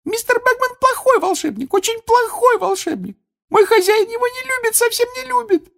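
A tiny female house-elf from a children's fantasy storybook. Her voice is extremely high, shrill and squeaky. She wails and scolds in broken grammar, fiercely loyal and easily indignant. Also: lively intonation, normal speed, gravelly, a bit bright, heavily acted. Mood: angry, loyal.

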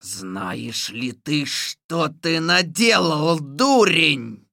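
A woman voicing a stern, menacing deathless sorcerer king in a storybook reading, with slow threatening commands. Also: sits low, lively intonation, gravelly, a bit bright, no room sound, clean studio sound, voice pushed down, full character voice.

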